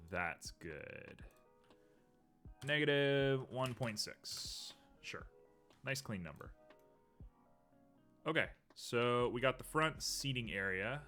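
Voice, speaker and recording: A man's voice: lowish voice, bright, forceful tone. A man streaming live while building a 3D model, thinking aloud with frequent ums, a little sniffly. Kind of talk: casual live-stream commentary while working.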